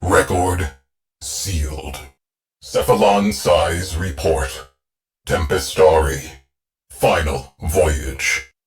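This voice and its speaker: A man voicing a terse male ship AI in a video game, speaking in clipped, deadpan military phrases. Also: low-pitched, extremely slow, pauses often.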